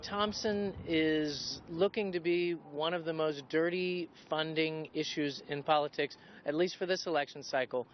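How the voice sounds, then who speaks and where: A male analyst offers blunt advice in an outdoor interview, pausing now and then. His voice is slightly high. Faint city noise sits under the dull, lo-fi audio. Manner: plain-spoken, with hesitant fillers. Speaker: political analyst. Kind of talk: interview comment.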